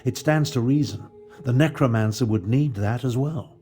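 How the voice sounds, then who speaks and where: A man's voice: medium pitch, dark tone, faint music behind, close to an everyday voice. Man playing a grave, formal mage, muted tone. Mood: grave, urgent. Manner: formal, grave, deliberate phrasing. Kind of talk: video game dialogue.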